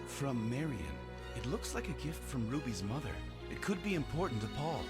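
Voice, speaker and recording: A devoted young bridegroom hero, acted by a man in a fantasy game. His earnest, dramatic voice sits at a middling pitch and swings widely, with clear music behind it. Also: big pitch swings, normal speed, close to an everyday voice. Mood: pensive.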